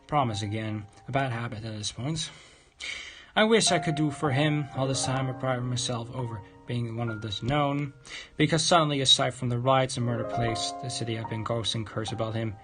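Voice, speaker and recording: A man livestreaming a visual novel, reading its text aloud in a halting, stumbling way and adding off-script comments. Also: medium pitch. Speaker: game livestreamer. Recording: music behind the voice.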